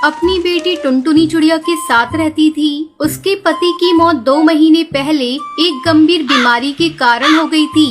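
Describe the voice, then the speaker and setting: A woman's voice: high-pitched, measured pace, few pauses, music in the background. A woman narrating a scripted children's story.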